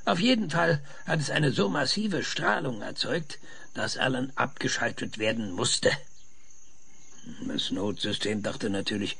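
An actor playing an older, insistent professor with a raspy voice in a German radio drama, explaining things emphatically and dramatically. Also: male voice, medium pitch, fairly bright tone, full character voice. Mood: eager explaining. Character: frail, excitable old male professor, insistent and visionary. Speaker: professor being interviewed.

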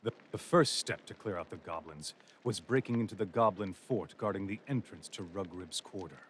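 A man narrating a fantasy game story in a grave, measured tone. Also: sits low, slight background noise, close to an everyday voice. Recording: music bed.

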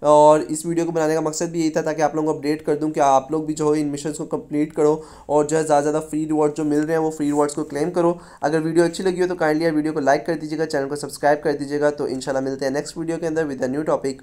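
A man's voice: fairly high pitch, flat delivery, very fast, hardly any pauses, mellow. A young man giving a casual, chatty gaming update as a YouTuber in a voice-over.

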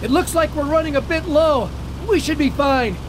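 A man's voice: very high voice, moderate pace, background noise. An actor playing a devout, protective middle-aged father in a family audio drama. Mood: warm, reassuring. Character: devout, protective father facing a plane crash.

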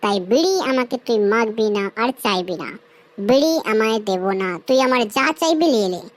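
High-pitched brash cartoon young woman, acted by a woman. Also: animated, fairly quick, natural voice. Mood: teasing, defiant. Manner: slangy, bickering, scolding. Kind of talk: Bengali comedy cartoon dialogue.

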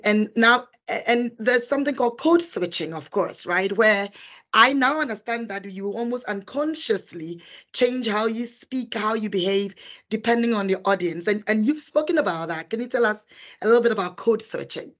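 A woman's voice: medium pitch, expressive, normal speed, fairly bright tone. A middle-aged woman interviewing conversationally on a video call, sharing her own experience. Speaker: interviewer, corporate director.

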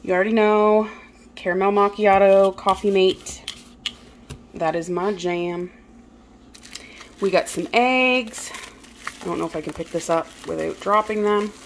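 A woman vlogger casually narrating a grocery haul in her home kitchen, relaxed and chatty with frequent "um"s. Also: mid-range voice, measured pace, long pauses, a little hiss or hum.

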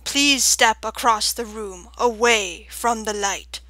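A woman's voice: fairly high pitch, sharp tone, dry recording. A woman voicing a large, stern, formal male owl with a round, sonorous voice in an audiobook. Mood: stern, blunt. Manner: slow, formal, weighty delivery. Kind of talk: audiobook character voice.

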